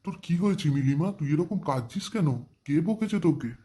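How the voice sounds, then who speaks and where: A man's voice: on the low side, little pitch movement, a little fast, a little mellow, natural voice. A man voicing a caring, worried uncle in a cartoon story, with a concerned, pleading way of speaking.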